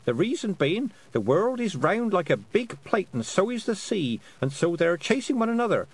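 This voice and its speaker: An old country grandmother, voiced by a male storybook reader, talks in homely, rustic phrases. The voice is plain-spoken, raised well up in pitch and lively in its movement. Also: moderate pace, breathy edge, full character voice. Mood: homely, wise. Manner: rustic dialect grammar.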